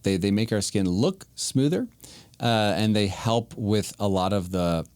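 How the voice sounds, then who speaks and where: Thirtysomething guy, easygoing cohost, joking around, lowish voice, dry sound. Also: lively intonation, a little slow, a little mellow, dry recording. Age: 30s. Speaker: co-host, physician assistant. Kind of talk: casual podcast chat.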